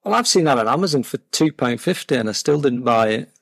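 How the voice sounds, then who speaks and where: A man's voice: mid-range voice, expressive, quick pace, gravelly, a bit bright, no echo, studio quality. A man talking casually as a guest in an interview about his collection. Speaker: podcast guest, game collector.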